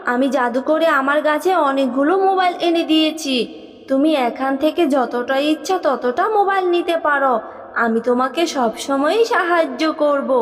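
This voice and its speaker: A generous magic tree from a cartoon fairy tale voiced by a woman, talking in a high voice. It sounds earnest, kindly and reassuring. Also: in a large hall.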